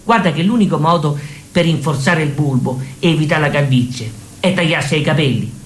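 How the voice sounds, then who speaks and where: Man doing a nagging Roman girlfriend, rapid-fire. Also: fairly high pitch, close to an everyday voice. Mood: lecturing, hurt. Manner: insistent, accusing, repetitive. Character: nagging, stubborn, self-absorbed girlfriend. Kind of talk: live comic stage sketch. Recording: echoey live stage room.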